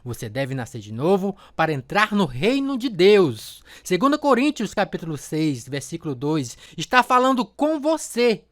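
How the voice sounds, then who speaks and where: A man's voice: high-pitched, big pitch swings, moderate pace, few pauses, dry recording, studio quality. A man acting a fervent evangelist in a radio drama, declaiming scripture emphatically and urging his listener. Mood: fervent, urgent. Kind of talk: dramatized sermon.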